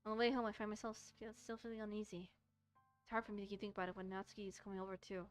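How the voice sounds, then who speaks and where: A woman's voice: medium pitch, level tone, very fast, soft background music, natural voice. Woman reading as a mild schoolboy narrator. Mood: pensive. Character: mild, uneasy schoolboy narrator of a dating story. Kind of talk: visual novel text read aloud.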